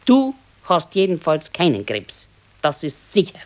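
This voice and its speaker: An actress playing a practical, modest old wife with a Bavarian accent, admonishing and matter-of-fact in a radio play. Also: female voice, deep voice, big pitch swings, unhurried, darkish tone. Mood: dismissive, reassuring. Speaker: radio drama actor. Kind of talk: 1970s naturalistic dialect radio play.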